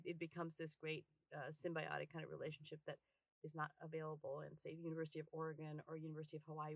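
A man's voice: fairly high pitch, gravelly, no echo. A man who is a linguistics professor, speaking enthusiastically and fluidly in an interview. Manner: enthusiastic, flowing speech. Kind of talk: interview answers.

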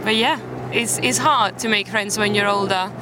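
A woman's voice: medium pitch, moderate pace. A young woman from Spain with a British English accent, chatting easily as an interview guest and laughing often, recorded outdoors in a city park. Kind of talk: casual chat. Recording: outdoor street noise.

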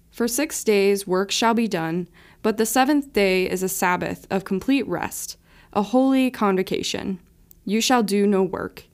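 A woman's voice: mid-range voice, vocal fry. A woman reading scripture and a scripted personal reflection as part of a devotional. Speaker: devotional writer and reader.

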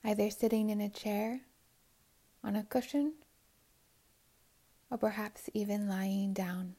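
Slow, soft-voiced woman guiding meditation. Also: mid-range voice, level tone. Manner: soothing, unhurried, with long pauses. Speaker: meditation guide. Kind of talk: guided meditation narration.

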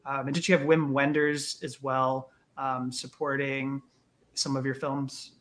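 A man's voice: mid-range voice, level tone, a little slow, fairly bright tone. A man hosting a podcast interview, asking long, hesitant questions full of fillers. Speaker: interview host.

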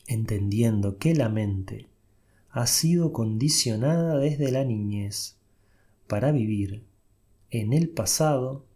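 A man leading a guided meditation, giving soft, unhurried instructions with long pauses. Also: medium pitch, animated, husky.

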